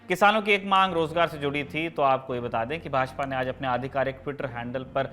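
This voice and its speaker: A man's voice: medium pitch, fairly even intonation, a little fast, bright, forceful tone, soft background music. A man presenting scripted news as the host of a show.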